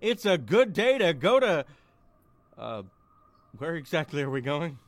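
A man playing a goofy, expressive young buddy in an audio roleplay, talking in a casual conversational way. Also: on the high side, unhurried, slight background noise. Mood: apprehensive, puzzled. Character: goofy, exuberant young male buddy driving his car.

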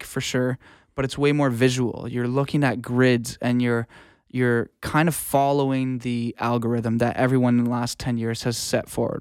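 A man's voice: medium pitch, darkish tone, clear, resonant tone, no room sound, clean studio sound. A man speaking as a music producer in a casual interview, explaining reflectively in plain terms and often checking in with the listener. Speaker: music producer and audio engineer, podcast guest.

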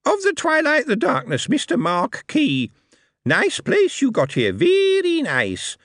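A man voicing a cheeky, sarcastic male goblin in a shrill, cackling voice. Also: huge pitch swings, unhurried, a bit bright, no echo, studio quality, exaggerated voice.

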